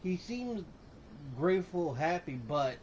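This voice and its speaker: High-pitched casual male gamer, terse. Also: big pitch swings, takes it slowly, a crowd in the background. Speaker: gameplay streamer. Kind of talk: casual gameplay commentary. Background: loud game music.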